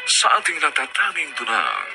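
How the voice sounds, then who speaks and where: A man's voice: medium pitch, huge pitch swings, normal speed, gravelly. A man with a Filipino accent announcing a radio drama in formal, theatrical scripted style. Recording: radio broadcast with loud music.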